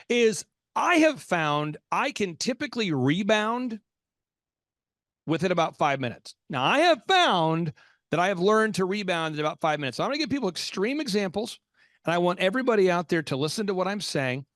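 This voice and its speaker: A man hosting a business podcast, talking with preachy energy, repeating lines and jumping into sudden shouts. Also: high voice, dry recording, studio quality. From Oklahoma. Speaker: podcast host.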